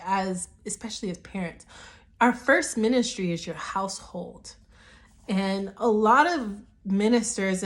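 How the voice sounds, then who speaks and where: A woman minister speaks slowly, her voice a little raspy and deep. She teaches a devotional message, starting soft and hushed and building to emphatic preaching.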